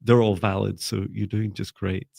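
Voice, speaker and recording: A man teaching a live online spiritual class, speaking in a calm, gentle, soft-spoken way and pausing often to restart his sentences. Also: fairly low pitch, gravelly, no echo, studio quality. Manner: hesitant, with repeated restarts. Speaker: spiritual mentor. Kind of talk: live online class, reading viewer comments.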